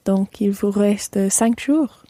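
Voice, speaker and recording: A woman playing a sociable French hostess in a scripted lesson radio play, inquiring and clearly articulated. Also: mid-range voice, slow, dark tone, dry recording. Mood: curious, friendly. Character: Sophie, sociable French woman hosting new friends.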